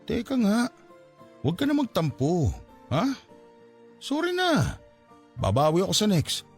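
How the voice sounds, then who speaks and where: A man's voice: high-pitched, wildly swooping pitch, measured pace, slightly rough, faint music behind, heavily acted. An actor playing an irritable, evasive Filipino man who talks dismissively to his lover and scolds, in a radio drama. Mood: evasive, placating.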